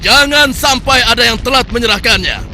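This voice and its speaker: A man's voice: very high voice, expressive, a little fast. A man voicing an arrogant male army commander in a cartoon, who barks orders and decrees. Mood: commanding. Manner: barking orders, proclamatory. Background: music.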